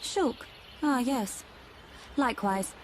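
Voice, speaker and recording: Posh, high-voiced British noblewoman. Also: slow pace, darkish tone, slight background noise. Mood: polite, composed. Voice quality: posh diction. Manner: formal, stiff, archaic courtesy. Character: haughty, formal young noblewoman, guarded and proud. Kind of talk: video game cutscene acting.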